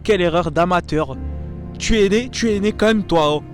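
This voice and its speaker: A man's voice: high voice, moderate pace, soft background music. Mock-dramatic young man's voice-over. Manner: mock-dramatic, self-mocking. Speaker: vlogger narrating his own footage. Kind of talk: comic voice-over commentary.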